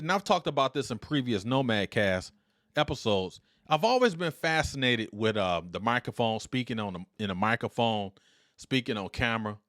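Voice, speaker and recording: A middle-aged man hosting his own show with a big, confident voice. He talks in an upbeat, deliberate way and speaks straight into a close dynamic mic. The room adds nothing, so the sound stays dry and close. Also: mid-range voice, a bit bright, dry recording. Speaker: podcast host. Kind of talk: solo podcast monologue. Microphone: dynamic studio mic.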